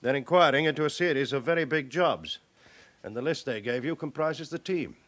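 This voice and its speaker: A senior police chief inspector played by a male actor in a radio drama, methodical and suspicious. His medium-pitched voice rises and falls widely as he deliberately builds his case with pointed questions. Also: expressive, a bit bright, natural voice. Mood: pensive, pointed. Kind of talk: naturalistic radio drama acting.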